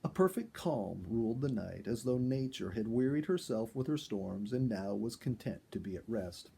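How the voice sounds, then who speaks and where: A man reading a first-person animal story aloud in an expressive storyteller's style. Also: mid-range voice, moderate pace, creaky, slight background noise. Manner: expressive, acts out dialogue. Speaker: storyteller, reader.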